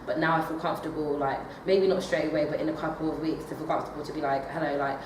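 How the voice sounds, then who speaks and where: Young woman, drama student, low voice, hesitant with fillers, distant noisy echoey recording. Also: fairly even intonation, quick pace. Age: young adult. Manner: hesitant, many fillers and restarts. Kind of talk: assessment interview answers. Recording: echoey room, far from the mic, noisy.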